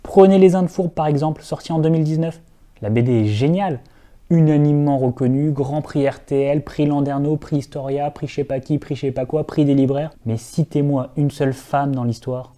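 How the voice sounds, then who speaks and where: Young male essay host, slightly high, very mellow dark tone, earnest with wry asides. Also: fairly even intonation, fairly quick. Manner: earnest, lightly ironic asides to viewers. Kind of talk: scripted video essay narration.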